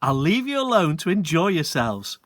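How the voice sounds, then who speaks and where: A shrill, sing-song storybook mum, put on by a man reading aloud, her voice leaping high and all over the place as she fusses over her son's guests. Close and dry, with no room around her. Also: sing-song, moderate pace, a bit bright, dry recording. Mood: chirpy. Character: fussy cheerful mother, woman, middle-aged.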